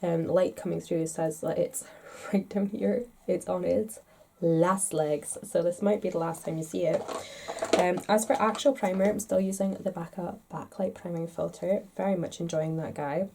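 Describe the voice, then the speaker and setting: A woman's voice: lowish voice, steady tone, moderate pace, creaky, background noise. A woman vlogger talking casually to the camera in a chatty, rambling, self-deprecating way, a little congested with a stuffed-up nose. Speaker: makeup vlogger.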